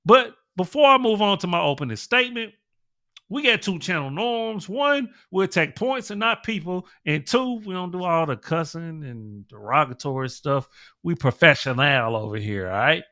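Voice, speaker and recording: A man's voice: fairly high pitch, sing-song, normal speed, pushed and bright, no echo, clean studio sound. An American man hosting his own show, talking casually with a stuffed-up nose and checking in with "right?" and "okay?". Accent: American English. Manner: conversational, keeps adding "right?" and "okay?". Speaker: podcast host. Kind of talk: casual monologue commentary.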